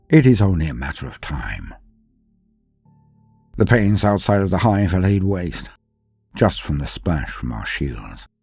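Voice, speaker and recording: A man narrating a dramatic first-person war story as a grim, brooding space marine commander, grave and deliberate, with theatrical pauses. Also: low-pitched, darkish tone, husky. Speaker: lore channel narrator.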